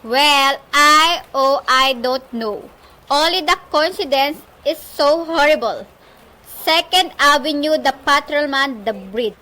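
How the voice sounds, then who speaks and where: A woman's voice: high voice, slow, bright, forceful tone, exaggerated voice, rough recording. A woman playing an anxious, demanding bedridden wife in an amateur radio play, speaking insistently with nervous hesitations. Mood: uneasy. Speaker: student actor in a group project.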